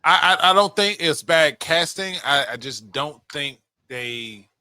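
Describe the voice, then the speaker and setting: Male podcast co-host, bright forceful tone, slightly high pitch, casual swearing, repeated words. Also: expressive, measured pace, smooth, no room sound. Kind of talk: casual chat about video game movies.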